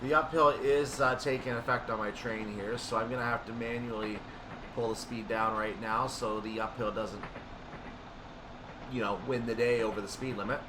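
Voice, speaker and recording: A man giving casual commentary on a train simulator, thinking aloud and explaining in an unhurried way. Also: medium pitch, fairly even intonation. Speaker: gaming video creator. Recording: distant mic, clear background noise.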